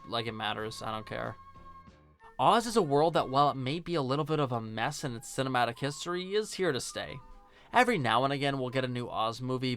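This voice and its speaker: Bright-voiced sarcastic man reviewing films. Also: mid-range voice, clear, resonant tone. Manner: sarcastic, blunt, swears. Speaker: host, video essayist. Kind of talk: casual, opinionated film review narration. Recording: music behind the voice. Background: music.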